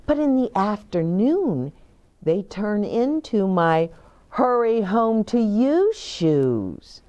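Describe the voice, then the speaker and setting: Picture-book mom, tender and reassuring, slow lilting delivery played by a woman reader. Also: medium pitch, sing-song, mellow, muffled recording, voice pushed up. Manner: soothing, explaining patiently to a child. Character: gentle, loving, reassuring young mother.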